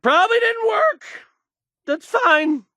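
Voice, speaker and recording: A shy young game-world radio DJ with a high voice that swings up and down. He hesitates, stammers and apologizes, fumbling slowly toward the end of each sentence. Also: animated, bright, forceful tone, no room sound, clean studio sound, full character voice. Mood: flustered, embarrassed. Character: painfully shy, nervous young male radio DJ. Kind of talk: video game radio broadcast acting.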